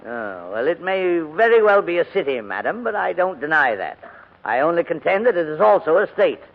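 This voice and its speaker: An actor playing a proud, cantankerous elderly English gentleman in a wordy, pompous 1940s radio drama style. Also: a little high, big pitch swings, normal speed. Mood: stubborn, huffy. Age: older. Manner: pompous, wordy, indignant, hesitating. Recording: dull vintage radio broadcast recording.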